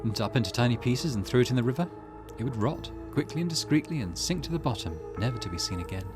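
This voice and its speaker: A man voicing a reflective woman in a visual novel, telling a grim tale in a measured, wistful way over music. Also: mid-range voice, animated, lightly acted. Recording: loud music behind voice.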